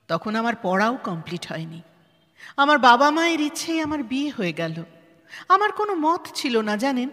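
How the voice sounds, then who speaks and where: Earnest woman survivor, high bright voice, emphatic audio-drama delivery by an actress, long reverb. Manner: dramatic, emphatic, repeats words for weight. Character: earnest, resilient woman NGO head who survived tragedy. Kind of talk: Bengali audio drama acting. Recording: long hall reverb, music underneath.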